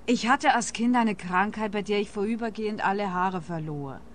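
A woman acting a bitter, touchy oddball in a radio drama, with a Swiss accent. Her mid-pitched voice is earnest and guarded, over slight background ambience. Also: moderate pace, a little hiss or hum, natural voice. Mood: earnest, wounded. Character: defensive, bitter female eccentric hair collector. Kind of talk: radio play dialogue.

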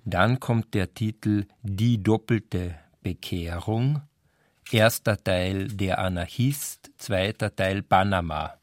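A man's voice: low voice, slow pace, dry recording, clean studio sound. A man speaking as a literary scholar, explaining and enumerating point by point. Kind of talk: explanatory talk on a novel's history.

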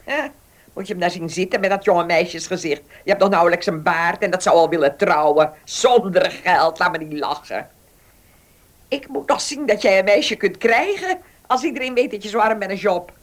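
A woman's voice: lowish voice, expressive, moderate pace, natural voice. A woman playing a domineering, bitter old aunt in a Dutch radio play, scornful and commanding in the way she talks. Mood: scornful, menacing. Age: older.

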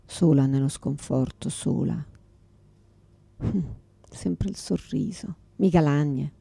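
A husky, confused, bitter mother. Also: low-pitched, takes it slowly, warm tone, no echo, heavily acted. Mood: weary, hushed sorrow. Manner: fragmented, repetitive, swearing, swinging from whispers to outbursts. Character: confused, forgetful mother, exhausted, bitter, pleading, quick to lash out. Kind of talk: radio theatre dramatic monologue.

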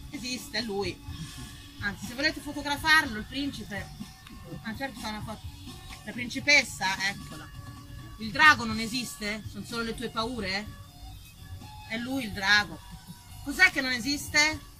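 A woman from Tuscany performing a theatrical monologue as an actress and storyteller, expressive and emphatic, dwelling on single words. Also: on the high side, a little slow, pauses often, soft background music, rough recording. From Tuscany, Italy. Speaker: stage actress, writer and storyteller.

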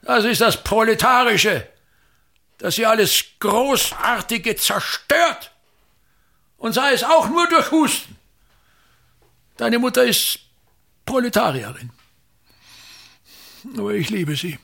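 Pompous, gravelly older stage tyrant. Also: male voice, very high-pitched, sing-song, slow, long pauses, sharp tone, heavily acted. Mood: indignant, grandiose. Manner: pompous, declamatory, emphatic repetitions. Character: pompous, tyrannical older actor-patriarch, grandiose and aggrieved. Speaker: actor. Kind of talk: theatrical radio drama monologue.

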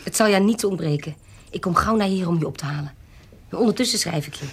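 An actress playing a resolute, scheming baroness in a period audio drama, speaking formally and deliberately. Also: female voice, fairly low pitch, slightly rough. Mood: resolute, tender. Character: resolute, scheming self-styled baroness, protective aunt.

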